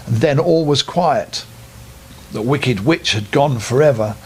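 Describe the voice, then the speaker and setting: Older male storyteller: expressive bedtime reading, high-set voice, lively intonation. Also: vocal fry, husky.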